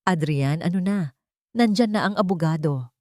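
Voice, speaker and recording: Lady doing greedy older mother-in-law in a love drama, fake sweet, lowish voice. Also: normal speed, a little mellow, no room sound, clean studio sound, lightly acted. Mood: smug. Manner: feigned concern, ingratiating.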